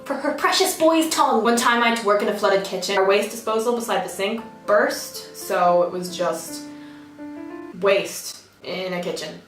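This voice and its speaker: A woman's voice: mid-range voice, moderate pace. A young Irish woman with a slightly American-tinged accent, telling sarcastic work stories in a casual chatty way, and swearing freely. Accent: Irish, partly American-sounding. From Ireland. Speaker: vlogger. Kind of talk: casual storytime monologue. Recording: roomy, slightly distant, music bed.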